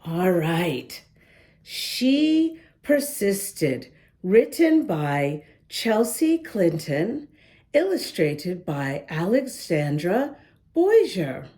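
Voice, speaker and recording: Give me a gentle woman reading a storybook to children, unhurried and warm-toned. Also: fairly low pitch, big pitch swings. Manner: gentle, slow, talks to young listeners. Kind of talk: reading a picture book aloud to children. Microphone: camera close up, showing the book.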